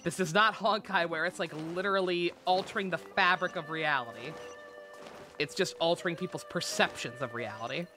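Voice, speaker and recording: A woman's voice: lowish voice, brisk, sharp tone. A woman game streamer giving casual, sweary live commentary in a sarcastic, ranting manner. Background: game music.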